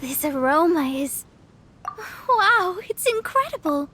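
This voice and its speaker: Anime game astrologer: young, proud, lofty wording, high voice, easily rattled. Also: female voice, very animated, slow, pushed and bright, slight background noise, exaggerated voice. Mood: lofty, defensive. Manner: lofty, formal, flustered hesitations. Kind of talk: anime fantasy game voice acting. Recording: faint game music behind.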